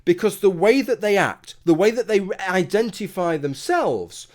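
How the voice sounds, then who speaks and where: Argumentative man, slightly high voice. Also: lively intonation, a little slow. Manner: argumentative, conversational, rhetorical asides. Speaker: commentary video host. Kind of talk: solo opinion monologue.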